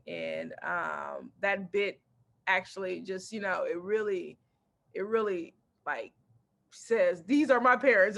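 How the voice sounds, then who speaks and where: A woman stand-up comedian from Texas, chatting in an interview and telling animated stories about her family while acting out quotes and laughing often. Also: medium pitch, vocal fry. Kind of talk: casual interview chat.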